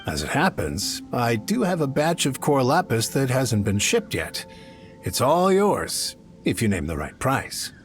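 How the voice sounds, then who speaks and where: Greedy merchant, wildly swooping delivery. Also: male voice, mid-range voice. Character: shifty, greedy merchant man driving hard bargains. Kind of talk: video game character acting.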